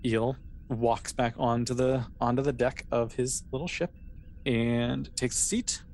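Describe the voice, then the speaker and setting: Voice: mid-range voice, steady tone, unhurried, a little mellow, a little hiss or hum. A game master narrating an improvised roleplaying scenario in a casual, conversational way.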